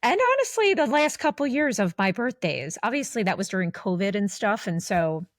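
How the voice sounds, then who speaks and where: A woman's voice: mid-range voice, very animated, moderate pace, no room sound, clean studio sound. A woman in her forties co-hosting a podcast, talking candidly in a rambling, casual way with many restarts. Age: 40s. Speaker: podcast co-host.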